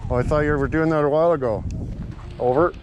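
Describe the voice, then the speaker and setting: A Canadian man bantering casually and profanely in a joking sales-pitch way, as a vlog host. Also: fairly even intonation, quick pace, a little mellow, highs cut off, slight background noise. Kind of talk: casual banter, walkie-talkie chatter.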